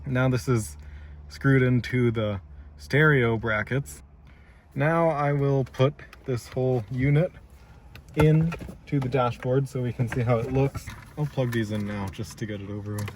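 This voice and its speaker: A man with a mid-range voice presents a casual DIY walkthrough. He explains methodically, one step at a time, while he works. He is recorded inside a car, with clear noise behind his voice. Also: medium pitch, steady tone, a little slow.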